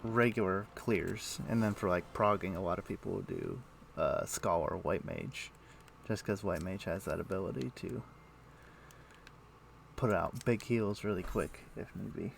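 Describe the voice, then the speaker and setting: Male game streamer, lowish gravelly voice, halting casual commentary, muttering to himself. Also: slight background noise. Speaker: game livestreamer. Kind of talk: casual livestream chat with gameplay commentary.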